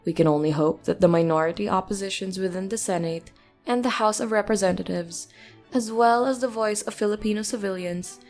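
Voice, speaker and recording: A woman presenting a scripted news commentary segment on a podcast in a measured, articulate reading. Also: mid-range voice, a little mellow, faint music behind.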